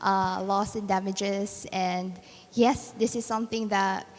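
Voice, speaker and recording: A woman's voice: medium pitch, little pitch movement, slow pace. A woman interviewing someone conversationally, searching a little for her phrasing. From Philippines. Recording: echoey hall with long reverb.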